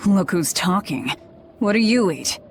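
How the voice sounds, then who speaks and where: A woman's voice: mid-range voice, expressive, a little slow, a little hiss or hum. An actress playing a guarded young woman demon who devours her enemies, speaking in a curt, cold manner in a video game cutscene.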